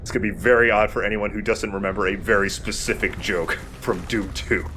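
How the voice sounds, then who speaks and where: A man's voice: on the low side, fairly even intonation, brisk, bright, forceful tone, a little hiss or hum. A man casually commentating as he plays a video game, rambling, joking and swearing. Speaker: let's play host, playing the game. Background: game soundtrack music.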